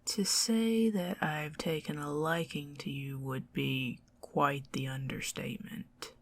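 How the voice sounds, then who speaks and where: A woman with a Southern US accent voicing a possessive, scheming goblin queen in a soft, teasing ASMR whisper. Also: deep voice, steady tone, takes it slowly, vocal fry, full character voice. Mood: coaxing whisper. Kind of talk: ASMR roleplay.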